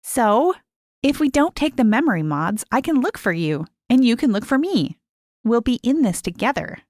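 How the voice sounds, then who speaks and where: A woman's voice: fairly high pitch, big pitch swings, normal speed, a little mellow, dry recording, studio quality, pitched above the performer's own voice. A woman voicing a bold, stubborn young girl in a story, insistent and impatient, scheming away. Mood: impatient, determined. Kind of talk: acted dialogue in story narration.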